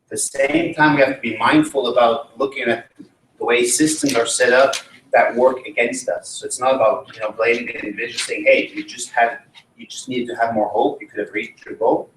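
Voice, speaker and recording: A man from Switzerland gives an academic talk at a conference and turns to the audience with questions. His voice sits at an ordinary middle pitch. It is picked up from some distance in a roomy, echoing hall. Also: fairly even intonation, brisk, a bit bright, slight background noise. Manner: engaging, asks the audience questions. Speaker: conference presenter. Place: conference room.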